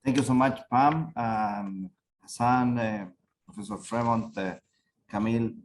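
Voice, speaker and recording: A middle-aged man from Chile speaking as a university rector on a panel, halting, with many fillers and repeated words. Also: mid-range voice, a little hiss or hum. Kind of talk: panel talk.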